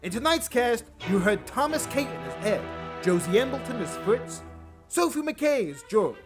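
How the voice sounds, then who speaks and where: Guy announcer reading radio play credits, high voice w lots of up and down, music under it. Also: sing-song, normal speed.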